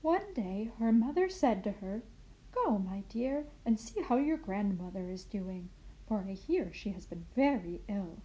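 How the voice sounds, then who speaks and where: A woman playing a kindly, muddled grandmother in an expressive children's storybook reading. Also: mid-range voice, measured pace, lightly acted, poor audio. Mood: earnest storytelling. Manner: expressive storybook reading with puzzled self-corrections. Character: kindly, muddled grandmother misreading fairy tale aloud.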